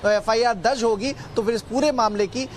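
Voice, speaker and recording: High-voiced male news correspondent. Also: a little fast, slight background noise. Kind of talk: live news update.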